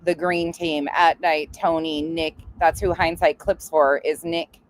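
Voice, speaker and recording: A woman's voice: deep voice, flat delivery, measured pace, slight background noise. Blunt, sarcastic woman doing reaction commentary. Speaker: live stream commentator.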